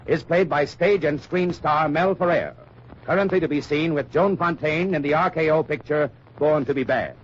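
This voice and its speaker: A man's voice: fairly high pitch, normal speed, background noise. A man announcing an old-time radio drama in a formal, dramatic way. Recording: muffled old radio recording with music.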